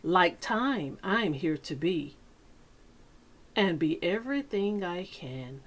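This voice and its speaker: A woman reading a picture book aloud to children like a teacher, with deliberate, dramatic phrasing and pauses. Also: on the low side, big pitch swings. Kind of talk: expressive children's read-aloud.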